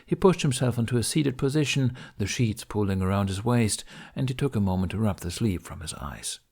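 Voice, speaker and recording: A male audiobook narrator with a fairly low voice. He reads scripted fantasy fiction as a storyteller. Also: lively intonation, brisk, gravelly, darkish tone, husky.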